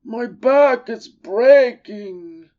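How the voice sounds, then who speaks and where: A woman voicing a weary, proud old camel in a storybook, groaning with self-pity in a drawn-out, dramatic way. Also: a little high, lively intonation, phone-quality sound, pitched above the performer's own voice. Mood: groaning, ashamed. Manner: slow, drawn-out, dramatic delivery.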